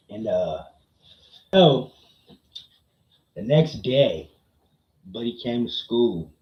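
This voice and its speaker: Slow, low-voiced man storytelling in echoey room. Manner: slangy, repeats phrases for emphasis with frequent tag questions. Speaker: livestream storyteller and host. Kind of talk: casual chat, personal storytelling to a live chat. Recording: muffled, roomy echo.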